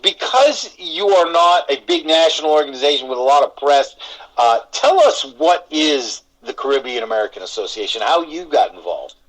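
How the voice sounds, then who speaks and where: A man's voice: fairly high pitch, animated, normal speed, a bit bright, low-quality recording. A man hosting a local TV interview, asking friendly, rambling questions full of fillers. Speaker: TV show host.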